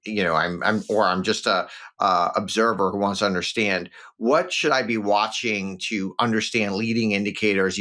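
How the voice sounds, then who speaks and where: A man with a fairly low voice hosts a podcast interview. He talks casually and haltingly, restarting and rewording his questions as he thinks them through. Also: a bit bright. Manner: halting, rephrases questions mid-sentence.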